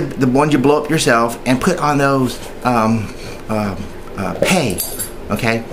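A man with a mid-range voice gives an informal, chatty how-to talk as a union member. He rambles in a folksy way, hesitating and repeating himself. It sounds like an amateur recording made at home, with noticeable noise in the background. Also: medium pitch. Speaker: union member giving tips. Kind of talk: informal training video, chatty monologue.

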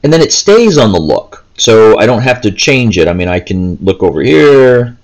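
A man's voice: on the low side, very animated, normal speed, poor audio. A man giving a casual, chatty gameplay walkthrough, hesitant and thinking aloud as he goes. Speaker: video game player and commentator. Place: indoors, seated, filming handheld gaming screen.

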